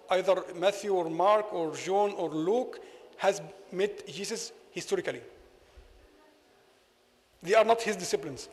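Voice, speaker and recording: Egyptian-accented imam, native Arabic speaker, high voice, argumentative lecture, echoing mosque hall. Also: male voice. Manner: argumentative, rhetorical questions. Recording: long hall reverb.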